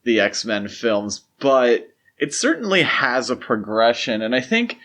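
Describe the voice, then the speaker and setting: Male podcast host, mid-pitched voice, casual discussion style. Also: sing-song, measured pace, fairly bright tone.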